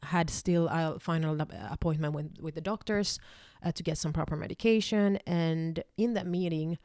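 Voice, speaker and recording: Young woman, Swedish first language, raised in Finland; low-ish voice, dry close recording, rambling personal story. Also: moderate pace, darkish tone, no echo. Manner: rambling, lots of fillers and restarts. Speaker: vlogger.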